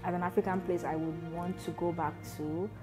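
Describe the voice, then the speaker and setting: A woman giving emphatic, animated interview answers. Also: fairly low pitch, a little fast. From Nigeria. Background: faint music.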